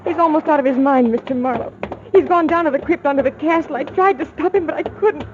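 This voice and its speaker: A woman's voice: high-pitched, fast talker, close to an everyday voice. An actress playing a frightened, pleading young woman in a breathless, earnest 1940s radio melodrama style. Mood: anxious. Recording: dull old radio recording, faint noise.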